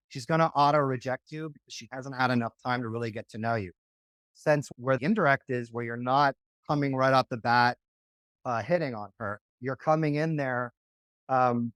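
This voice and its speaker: A man's voice: mid-range voice, a bit bright, dry recording. A man coaching on dating in a podcast interview, talking casually and often checking in with "right?".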